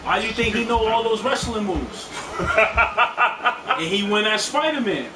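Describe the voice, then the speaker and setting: A man's voice: sits high, expressive, a little slow, background noise. Rambling New man hosting a stream. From New York. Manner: loose, rambling, shout-outs. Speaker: show host. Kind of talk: casual chat while playing a game on stream. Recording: roomy, distant mic, loud music behind.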